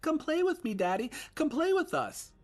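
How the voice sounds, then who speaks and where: A man voicing a menacing ghostly entity that imitates a small boy, high and childlike at times and deep and threatening at others. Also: huge pitch swings, normal speed, soft background music, exaggerated voice. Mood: pleading. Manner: childlike singsong that turns to a deep, threatening growl.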